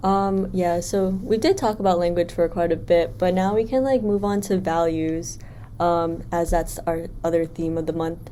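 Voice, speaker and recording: A young woman whose first language is Vietnamese, co-hosting a podcast in an easygoing chat. Her voice sits low and sounds dark and mellow. Faint background noise runs underneath. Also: fairly even intonation, normal speed. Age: young adult. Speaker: podcast co-host. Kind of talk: casual chat.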